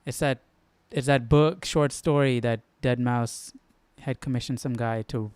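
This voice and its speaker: A man's voice: medium pitch, fairly even intonation, unhurried, darkish tone, clean tone, no echo. A man hosting a live study stream, giving casual, slangy, jokey commentary as he muses aloud.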